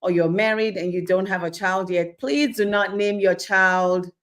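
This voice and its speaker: A woman's voice: mid-range voice, normal speed. A woman from Nigeria giving a sermon-style Bible teaching, asking rhetorical questions, acting out little scenes and checking in with "okay?". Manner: animated, keeps checking in with "okay?".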